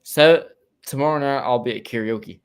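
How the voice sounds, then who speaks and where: A male podcast co-host chatting over a remote call. His pitch is mid-range for a man. He talks in loose, rambling bursts and swears offhandedly. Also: normal speed, clear, resonant tone. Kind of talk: casual chat.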